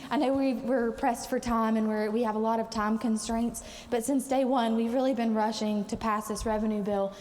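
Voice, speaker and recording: Hoarse woman making a floor speech. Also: a little high, steady tone, moderate pace, hardly any pauses, darkish tone. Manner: earnest, hesitant with many fillers. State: losing her voice. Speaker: state delegate. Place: legislative chamber. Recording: long hall reverb, faint ambience.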